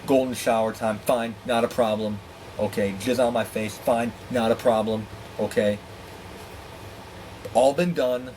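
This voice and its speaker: A man telling a personal story in a candid, profane, animated way, acting out dialogue with exasperated asides. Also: mid-range voice, a little hiss or hum, rough recording. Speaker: storyteller and host.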